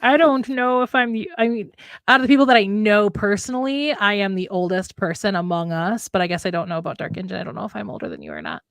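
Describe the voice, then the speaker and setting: A woman's voice: medium pitch, lively intonation, quick pace, fairly bright tone, no room sound. A woman in her late thirties chatting casually as a live stream co-host, reflective and rambling as she rephrases herself. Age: late 30s. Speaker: writer co-hosting a live stream. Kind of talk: casual chat.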